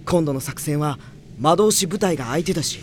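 A male actor playing a gentle, cautious young mage in an audio drama, soft-spoken, earnest and considerate. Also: on the high side, normal speed, slight background noise, natural voice. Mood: worried, cautious. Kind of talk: Japanese anime audio drama acting.

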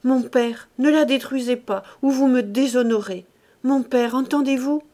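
A defiant young heroine from a classic novel , performed by a woman narrator. She pleads in a high, emphatic voice, desperate yet unbending, with a declamatory delivery. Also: unhurried, warm tone.

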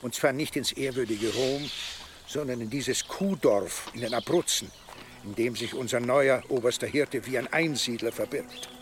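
An actor playing an imperious, irritated medieval archbishop in a German radio drama, speaking in a formal, sardonic manner. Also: male voice, mid-range voice, normal speed, noisy background, close to an everyday voice. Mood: haughty, annoyed. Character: imperious, irritated medieval archbishop giving orders to his deputy. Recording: music behind the voice.

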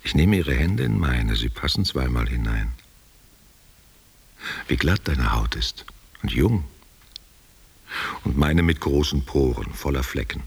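Very deep, gravelly old man. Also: animated, measured pace, long pauses, heavily acted. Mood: tender, intimate. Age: older. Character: old, tender, self-mocking man courting a younger woman. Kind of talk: German radio drama acting. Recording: noisy, hissy radio recording.

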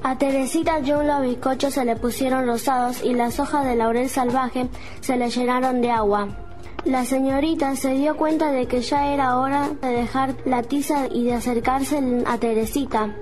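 A woman narrating a children's radio play in an expressive storytelling manner. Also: high-pitched, normal speed, soft background music. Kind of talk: storybook reading.

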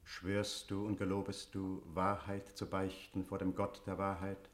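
A devout priest in a classical radio drama. The man's voice is fairly low. He declaims verse with liturgical gravity, solemn and insistent as a confessor. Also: completely flat delivery, unhurried.

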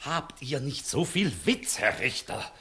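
An actor playing a dignified, exasperated court inspector who gives clipped commands, in a 1960s German radio play. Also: male voice, medium pitch, sing-song, unhurried, bright, forceful tone, full character voice. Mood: dry, impatient.

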